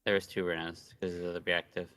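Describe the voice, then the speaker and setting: A man's voice: sits low, flat delivery, a little fast, a bit bright, smooth, no echo, clean studio sound. A man co-hosting a livestream in laid-back, joking casual chat. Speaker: livestream co-host.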